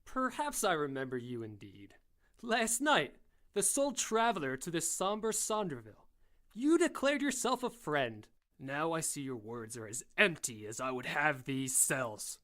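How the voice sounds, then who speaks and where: A man's voice: fairly high pitch, big pitch swings, a little slow, a bit bright. A man playing a pompous, fiery young crusader in an audio drama, speaking in grandiose archaic oratory. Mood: haughty, accusing. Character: pompous, fiery young male crusader rallying his followers.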